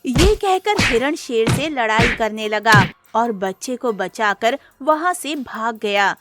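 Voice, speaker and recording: A woman tells a scripted moral tale in a fairly high voice. Her pace is very unhurried, and music plays faintly behind the narration. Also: lively intonation, a bit bright. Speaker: storyteller.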